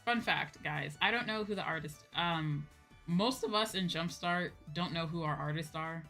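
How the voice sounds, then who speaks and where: A woman streamer chatting casually with her viewers in an animated, informal way, often checking in as she goes. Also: sits low, normal speed, vocal fry. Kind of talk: casual livestream chat. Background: background music.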